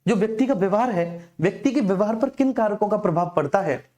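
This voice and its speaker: A man's voice: high-pitched, expressive, brisk, dark tone. A man teaching an exam coaching class informally, explaining repetitively and addressing his students directly.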